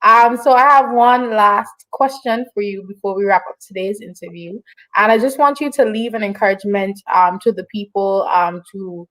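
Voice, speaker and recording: A woman's voice: medium pitch, moderate pace, a bit bright, poor audio. A woman hosting an online interview in a casual, encouraging way, with frequent affirmations. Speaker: online show host.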